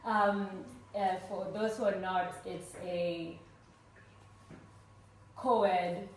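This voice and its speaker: A woman in her forties from Uganda tells her personal story to a live audience at an event, earnest and warm, with frequent "um" fillers. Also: medium pitch, fairly even intonation, drawn-out words. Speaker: speaker at a fundraising event. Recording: roomy echo, voice from far off, faint noise.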